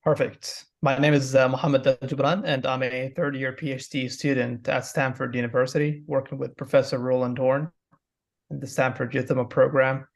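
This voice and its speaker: A man's voice: mid-range voice, little pitch movement, normal speed, vocal fry. A young adult man introducing himself as a research panelist.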